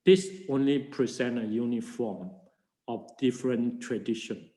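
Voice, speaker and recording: Older monk, slow, hesitant English, echoey classroom. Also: male voice, medium pitch, warm tone. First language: Chinese. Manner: halting non-native English with many fillers and restarts. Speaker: Buddhist monk teaching a class. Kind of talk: informal dharma class talk.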